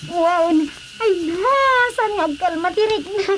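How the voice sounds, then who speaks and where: A woman's voice: very high voice, takes it slowly, background noise. A woman with a Filipino accent playing a small, frightened, plaintive youngster in a radio drama. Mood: worried. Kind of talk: Ilocano radio drama acting.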